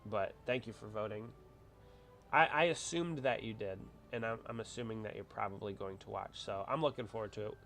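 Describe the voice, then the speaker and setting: A man's voice: on the low side, a little fast, fairly bright tone. A man hosting a casual livestream, congested from a cold, rambling as he chats with viewers. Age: adult with a wife. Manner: chatty, rambling, reads out viewer comments. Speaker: livestream host.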